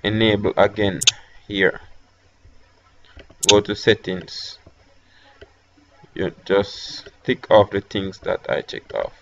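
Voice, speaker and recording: A man with a Jamaican accent talking through a software tutorial step by step, with hesitant fillers between instructions. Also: on the low side, steady tone, slow, long pauses, muffled recording, a little hiss or hum. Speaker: tech tutorial host. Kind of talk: screen-recorded software tutorial.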